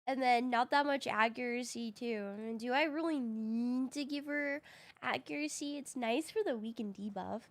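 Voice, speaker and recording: A woman's voice: a little high, normal speed. A woman live streaming a game in casual, slangy chat, her voice a little groggy from having recently woken up. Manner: slangy, informal.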